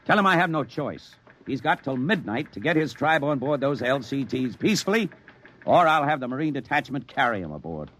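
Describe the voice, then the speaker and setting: An actor playing a curt, impatient American military general in a 1950s radio drama. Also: male voice, medium pitch, very animated, fairly quick, a bit bright. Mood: curt, commanding. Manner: curt, commanding, dismissive. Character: brusque, impatient American military general running a bomb test. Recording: noisy old radio broadcast recording.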